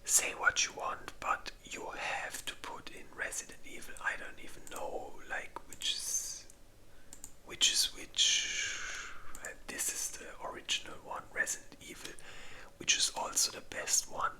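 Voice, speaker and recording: Slow, whispered ASMR host. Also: sharp tone. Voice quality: breathy unvoiced whisper. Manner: informal, rambling, swears casually. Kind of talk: whispered ASMR, casual ranking commentary.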